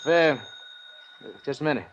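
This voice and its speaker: An actor playing an unhurried desk officer taking calls, with a slow, deliberate manner, in 1950s radio drama style. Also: male voice, on the high side, lightly acted. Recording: dull, noisy old radio recording.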